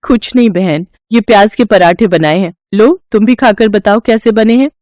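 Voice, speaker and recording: An earnest poor young wife acted out by a woman storyteller. She is devoted and polite, speaking her moral-story lines with a pleading manner at a middling pitch. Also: normal speed, a little mellow, dry recording, clean studio sound, lightly acted. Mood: polite, friendly. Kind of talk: animated moral-story dialogue.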